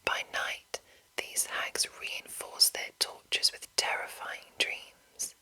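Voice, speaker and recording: A woman whispering in a breathy, unvoiced voice as an ASMR host, reading deliberately with soft enunciation. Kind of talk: whispered ASMR reading of a game manual with casual asides.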